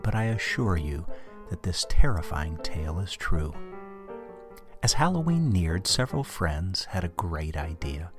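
A man reading a scripted spooky story as a dramatic narrator. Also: sits low. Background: music.

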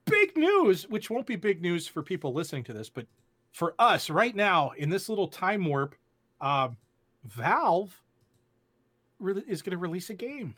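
A man's voice: high-pitched, very animated, measured pace, a bit bright. A man co-hosting a podcast, talking casually and rambling with frequent restarts and self-corrections. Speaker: podcast co-host. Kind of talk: casual chat.

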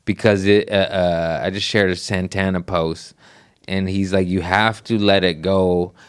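A man's voice: low-pitched, steady tone, a little slow, clear, resonant tone, no echo, studio quality. A man who is a comedian, chatting casually on a podcast, swearing freely and slipping into silly voices. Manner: profane, goofy, slips into voices and impressions. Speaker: comedian guest.

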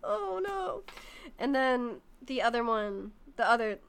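A young woman co-hosting a casual podcast chat, excitable and laughing often. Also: a little high, slow pace, clean tone. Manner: giggly, excitable, lots of laughter. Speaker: podcast co-host.

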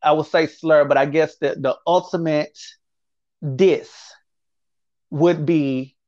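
A man's voice: fairly high pitch, fairly even intonation, slow, darkish tone, no room sound. Male interview guest, candid and reflective, recorded in a dry room with slightly dull sound. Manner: reflective, speaks in repeated phrases, often says "you know what I'm saying".

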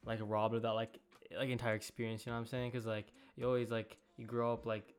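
A man's voice: mid-range voice, little pitch movement, fast, clean tone, faint music behind. A man in his late teens from Canada hosting a casual podcast, talking easily with repeated words and slang. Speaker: podcast host. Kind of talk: casual chat.

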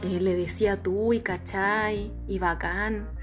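A woman's voice: mid-range voice, steady tone, slow, over music. A Chilean woman co-hosting a podcast, telling anecdotes in a playful, self-mocking way. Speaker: podcast co-host. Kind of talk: casual chat, storytelling.

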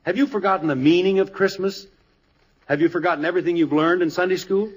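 A man's voice: high-pitched, fairly even intonation, fast talker, low-quality recording. An American actor playing an exasperated, indignant middle-aged father in a 1950s radio sitcom, blustery, sarcastic and emphatic. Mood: exasperated, preachy.

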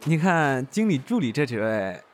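A man's voice: mid-range voice, sing-song, moderate pace, faint music behind, lightly acted. An actor playing an eager, chummy young male manager in an audio drama, friendly and boisterous. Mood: cheerful, coaxing. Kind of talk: Chinese audio drama acting.

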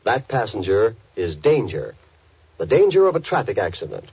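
A man's voice: on the low side, sing-song, normal speed, husky, dry recording. A man reading a solemn, scripted safety message in an earnest, oratorical old radio style. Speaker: public service announcer. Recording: dull, dry old radio recording.

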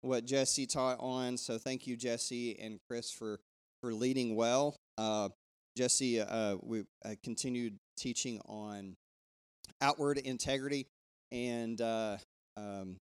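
A man's voice: steady tone, slow, clean tone. A man, a pastor giving church announcements in a loose, conversational way, full of fillers and restarts. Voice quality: bassy. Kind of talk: church announcements before a sermon.

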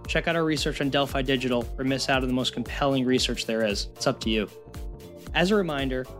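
A man hosting a crypto podcast, speaking with eager enthusiasm and frequent fillers. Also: mid-range voice. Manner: enthusiastic, repeats "really excited". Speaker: podcast host. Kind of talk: upbeat podcast intro and interview.

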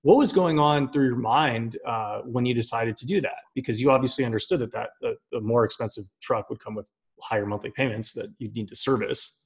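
A man hosting a casual chat, with an easygoing, relaxed delivery. He laughs readily and draws his guest out with probing follow-up questions. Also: mid-range voice, brisk.

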